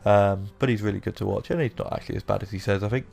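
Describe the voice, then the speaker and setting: Croaky low-voiced man narrating gameplay. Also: rapid-fire, a little mellow. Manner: rambling, self-deprecating, with many fillers. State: croaky from a sore throat. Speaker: gaming YouTuber. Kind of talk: casual let's-play commentary.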